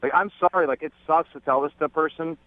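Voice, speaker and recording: A man's voice: fairly high pitch, quick pace. A young man calling into a radio show, talking casually and candidly with lots of "like" as filler. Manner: frequent "like" filler. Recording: dull call audio, voices behind.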